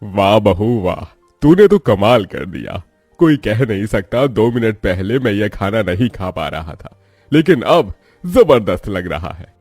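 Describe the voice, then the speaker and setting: Man playing a low-voiced, kindly old father-in-law. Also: big pitch swings, measured pace, a little mellow, no echo, soft background music, full character voice. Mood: pleased. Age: older. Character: kindly elderly father-in-law, approving and protective.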